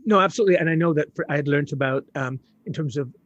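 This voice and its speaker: Reflective man, slightly high and husky. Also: very fast, no echo, muffled recording. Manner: thoughtful, hesitant, restarts often. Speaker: host. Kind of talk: casual interview conversation.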